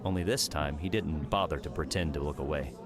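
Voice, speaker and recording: A man narrating a scripted audiobook story in a measured, dramatic storytelling manner. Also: extremely low pitch, over music.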